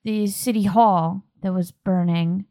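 A woman's voice: mid-range voice, steady tone, slow, dark tone, a little hiss or hum. A woman narrating a tabletop roleplaying game as game master in an informal, conversational way.

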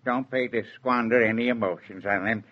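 An actor playing a plainspoken, unsentimental mature farmer with a rural American accent, drawling drily in a 1940s radio drama. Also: male voice, mid-range voice, steady tone, fairly quick, natural voice. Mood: gruffly dismissive. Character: laconic, unsentimental rural farmer, a mature man selling his cow. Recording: dull vintage 1940s radio recording.

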